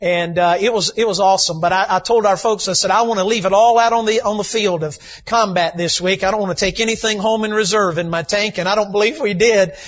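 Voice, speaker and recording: A man, a visiting preacher, slightly hoarse, telling folksy stories and building to shouted emphasis in a sermon. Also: high-pitched, fairly even intonation, fast talker, few pauses. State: slightly hoarse from lack of sleep. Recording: dull lo-fi church recording.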